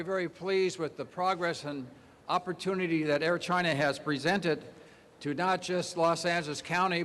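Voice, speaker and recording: A man's voice: on the high side, fairly bright tone, big-room sound. A man speaking as a county official at a public ceremony, formal with some restarts.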